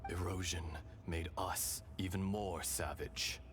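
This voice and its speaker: An actor playing an ancient, gentle dragon spirit in a video game, speaking in a solemn, measured, poetic way. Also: male voice, sits low, fairly even intonation, slight background noise. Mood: solemn, grave. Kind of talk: dramatic scripted game dialogue.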